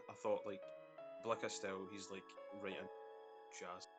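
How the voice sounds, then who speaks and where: A man with a fairly low voice speaks as a guest music producer. His manner is laid-back and informal, like casual interview chat. Faint music plays behind the call. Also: level tone, unhurried.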